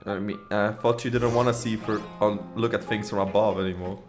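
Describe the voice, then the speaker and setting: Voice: mid-range voice, steady tone, brisk, music in the background. A game streamer giving casual, slangy, emotionally invested commentary during a late playthrough. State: sleepy.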